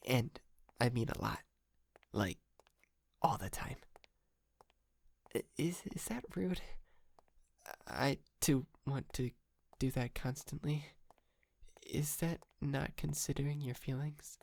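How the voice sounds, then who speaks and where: A man's voice: medium pitch, takes it slowly, vocal fry, long pauses, no room sound. A man playing a shy, polite, clingy yandere kidnapper in an intimate ASMR roleplay, stammering and apologetic, close to the mic. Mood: eager, shy. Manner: hesitant, stammering, nervous giggles, apologetic. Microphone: close microphone, dry.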